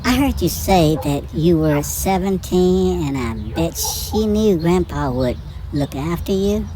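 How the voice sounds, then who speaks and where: A curious, caring young son, played by a woman, questions things earnestly in an amateur audio drama. The pitch is on the low side but swings in a lively way, with faint noise behind it. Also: takes it slowly, rough recording. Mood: thoughtful. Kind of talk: hobby audio drama dialogue.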